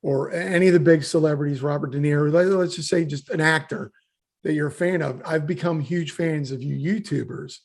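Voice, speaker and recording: Gravelly, husky-voiced chatty man host. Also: fairly high pitch, steady tone, brisk. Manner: chatty, rambling, lots of fillers. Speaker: podcast host, interviewer. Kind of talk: casual interview.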